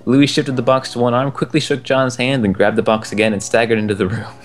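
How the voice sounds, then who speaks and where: A young man reading a children's book aloud in a relaxed way, chuckling now and then at the text. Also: mid-range voice, quick pace. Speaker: storyteller. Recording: soft game music behind the voice.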